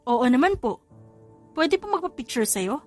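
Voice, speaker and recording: Woman voicing a starstruck Filipino male fan, high-pitched. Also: sing-song, moderate pace, a little mellow, soft background music. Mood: excited, awed.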